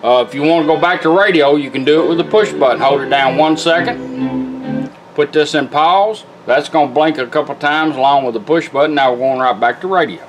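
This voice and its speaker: A man's voice: fairly high pitch, brisk, poor audio. A middle-aged man with a Southern American accent gives a casual, plain-spoken hands-on product demonstration, explaining it step by step. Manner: folksy, plain-spoken, instructional. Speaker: car radio builder demonstrating product. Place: indoor workbench. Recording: music playing behind the voice. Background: music and radio from demonstrated car stereo.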